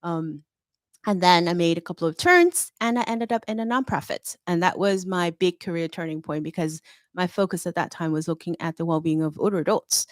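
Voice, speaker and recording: A middle-aged woman from Hong Kong talks as an interview guest, candid and confident, telling stories from her career. Her voice sits fairly low and the sound is dry and close. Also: fairly quick, dry recording. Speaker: guest, fintech consultant. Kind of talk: interview conversation.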